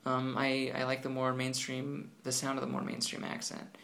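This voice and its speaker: A man's voice: mid-range voice, monotone, moderate pace, gravelly, a bit bright. A man in casual conversation about learning languages, hesitant, with many ums and restarts.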